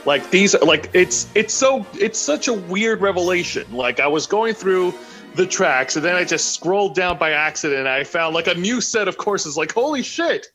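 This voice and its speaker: A man's voice: high-pitched, lively intonation, moderate pace, a bit bright, soft background music. A man co-hosting a live stream, chatting casually and giving announcements.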